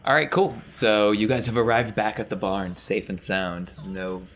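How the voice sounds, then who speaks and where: A man's voice: fairly low pitch, steady tone, moderate pace, a bit bright, slight background noise. A man working as game master, casually improvising scene narration for a tabletop game.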